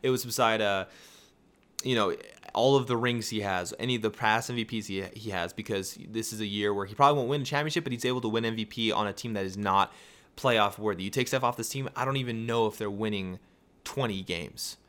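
Young man, raspy, tired, lowish voice, casual sports podcast chat, rambling. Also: fairly quick. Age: young adult. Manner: rambling, with restarts and excited rhetorical questions. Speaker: podcast host.

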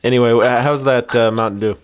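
A man's voice: mid-range voice, fairly even intonation, fast, clear, resonant tone. A man hosting a comedy podcast in casual, bantering talk. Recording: faint background noise, clicks.

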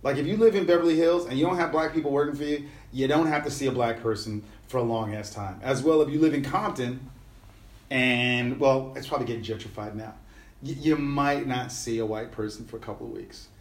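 A man's voice: mid-range voice, fairly quick, reverberant. A middle-aged man, a musician guest talking casually in an easygoing, rambling way with slang and fillers. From New York City. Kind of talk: casual conversational interview.

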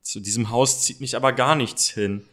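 A man's voice: medium pitch, normal speed, fairly bright tone, lightly acted. A man playing a reluctant, anxious teenage boy detective in an amateur audio drama, with a hesitant manner. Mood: wary.

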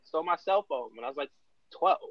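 A man from Brooklyn co-hosting a podcast in casual chat, animated, exclaiming and laughing often. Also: fairly high pitch, brisk, dry recording. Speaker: podcast co-host. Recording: dull dry lo-fi sound.

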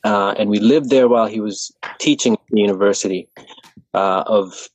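A man's voice: lowish voice, normal speed, husky, dry recording, low-quality recording. A young man from Kenya, a running coach, talking casually on a video call with an easygoing, rambling manner.

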